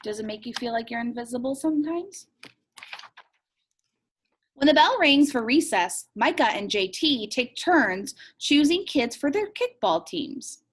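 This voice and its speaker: A woman's voice: fairly high pitch, lively intonation, measured pace, breathy edge. A woman teacher reading a picture book aloud expressively and asking young listeners questions.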